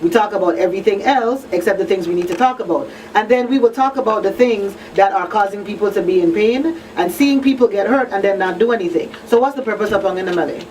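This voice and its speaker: Female talk radio host, medium pitch, earnest and deliberate; slightly off-mic, faint noise. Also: a little mellow, distant mic, low-quality recording. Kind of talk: live discussion, advocacy talk.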